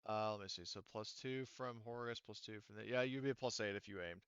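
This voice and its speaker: A man's voice: lowish voice, fast, a bit bright, clear, resonant tone, no echo, lo-fi sound. A man acting as game master of a tabletop roleplaying game, thinking aloud hesitantly as he works through the rules.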